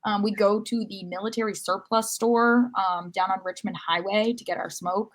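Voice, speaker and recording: A woman chats as a co-host on a casual sports podcast. Her voice sits at a middling pitch, and she talks in a wry, rambling storytelling way. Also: fairly even intonation, moderate pace.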